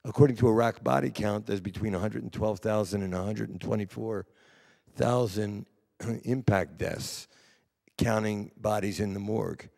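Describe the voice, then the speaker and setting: Low, gravelly man in an echoey hall. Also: normal speed, a little mellow. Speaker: panel speaker. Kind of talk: earnest panel remarks on war victims. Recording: echoey hall with long reverb.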